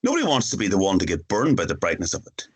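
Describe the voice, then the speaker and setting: A man's voice: low voice, huge pitch swings, very fast, slightly rough, heavily acted. A man acting a casual, teasing, frank boyfriend in a screenplay table read, speaking bluntly and familiarly.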